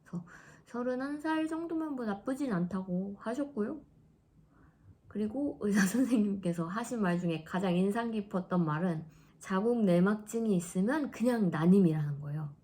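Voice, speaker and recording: A woman in her thirties chatting casually in a vlog, laughing often and dropping into soft asides. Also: mid-range voice, expressive, moderate pace. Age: 30s. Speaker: vlogger.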